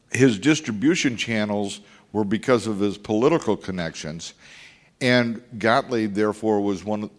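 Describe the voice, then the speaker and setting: Older man, low pitch, rambling with fillers, long hall reverb. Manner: rambling, halting, many fillers. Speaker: former industry executive. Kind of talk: oral history interview.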